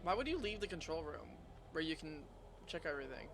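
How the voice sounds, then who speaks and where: A man's voice: fairly high pitch, animated, brisk. A man streaming a video game, giving casual reaction commentary. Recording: game music behind voice. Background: video game music.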